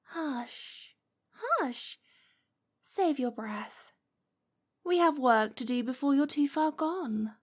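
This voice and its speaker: A woman's voice: high-pitched, expressive, slow, pauses often. A woman playing a lovestruck, possessive witch in an ASMR roleplay, cooing and coaxing with a condescending sweetness. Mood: sweetly commanding.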